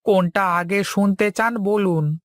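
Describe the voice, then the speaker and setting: A voice actor playing a deferential servant in an animated moral story, speaking in a measured way. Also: mid-range voice, no echo, studio quality, close to an everyday voice. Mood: deferential, earnest. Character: Ramu, a deferential servant bearing news.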